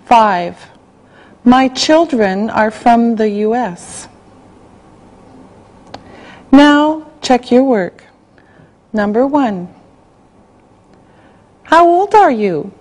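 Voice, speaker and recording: A woman reading a language-class listening exercise, spelling out words and dictating sentences slowly and carefully. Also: on the high side, expressive, darkish tone. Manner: slow, carefully spelled out, evenly paced. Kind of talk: slow scripted dictation.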